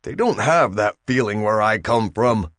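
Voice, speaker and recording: A man's voice: lowish voice, lively intonation, measured pace, a bit bright, no room sound, clean studio sound. A man voicing a bewildered young farm boy stuck in a cell, pleading and exasperated. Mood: desperate.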